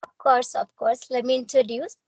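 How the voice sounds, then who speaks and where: A woman's voice: sits high, flat delivery, normal speed, darkish tone, no echo. A young woman with a North Indian accent and Hindi as her first language, speaking English in a formal, courteous, earnest way in a practice conversation. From Punjab, India.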